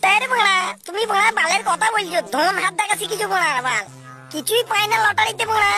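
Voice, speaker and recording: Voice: very high-pitched, fairly quick, loud music behind the voice. A performer voicing a cheeky, greedy, foul-mouthed schoolboy with a Bengali accent in a comic cartoon parody dub. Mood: sceptical.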